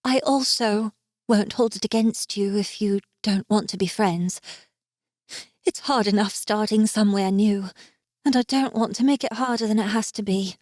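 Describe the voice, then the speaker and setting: A woman voicing a sweet, gentle young elf girl in a fantasy audiobook, with an earnest, vulnerable and emotional delivery. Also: medium pitch, normal speed, dry recording, clean studio sound, natural voice. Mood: sad, resigned.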